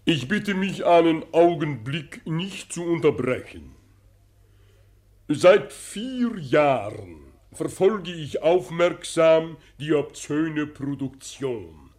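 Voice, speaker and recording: A man's voice: fairly high pitch, lively intonation, slow pace, darkish tone. A man playing a pompous, self-righteous professor and moral crusader in a German radio play, didactic and easily affronted. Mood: lecturing, solemn.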